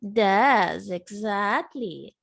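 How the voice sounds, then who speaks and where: Brief: English teacher, Portuguese native, measured, patient repetition, unhurried delivery. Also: female voice, mid-range voice, expressive, creaky. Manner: patient, slow drilling, repeats words for the student. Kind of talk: live online English lesson.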